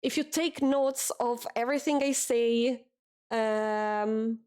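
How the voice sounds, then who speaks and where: A woman's voice: on the high side, slow pace, a little mellow, clean tone. A young woman with an Italian accent, chatting casually with her stream audience, often repeating words and reading chat messages aloud.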